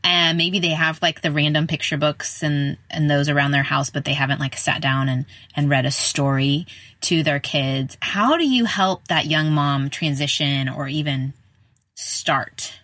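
Low-pitched, bright woman podcast host. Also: unhurried, no room sound. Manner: chatty, informal, peppered with "like". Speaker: podcast host, speech-language pathologist. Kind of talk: casual conversational interview.

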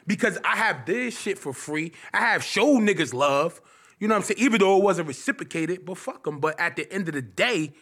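A man's voice: high-pitched, lively intonation, brisk, a bit bright. A man in his late twenties, a comedian guest on a podcast, talking casually and at length with lots of filler phrases. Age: 20s. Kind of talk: casual interview talk.

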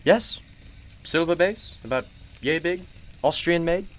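A man playing a kindly, wise and slightly mysterious shopkeeper in a radio play, speaking in a formal, measured, reassuring way. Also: fairly high pitch, a little hiss or hum, close to an everyday voice. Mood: courteous, knowing.

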